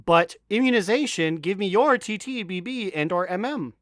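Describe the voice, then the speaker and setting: A man with a fairly high, very smooth voice hosts a casual podcast. He is jokey and sweary, and the sound is dry and close. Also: animated, normal speed, a bit bright, no room sound. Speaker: podcast host. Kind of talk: casual chat.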